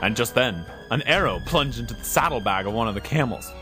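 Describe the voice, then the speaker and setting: A man playing a solemn, suspenseful narrator of an adventure serial, with drawn-out dramatic delivery. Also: medium pitch, animated, over music, pitched below the performer's own voice, close to an everyday voice. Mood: ominous.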